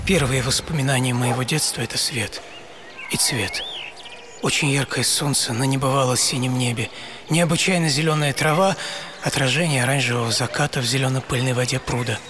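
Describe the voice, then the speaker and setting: A man playing a blind French inventor recalling his childhood in a wry, reflective radio monologue, measured and lyrical. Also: medium pitch, noisy background, lightly acted. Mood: nostalgic, dreamy. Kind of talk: dramatic first-person monologue for radio audio play.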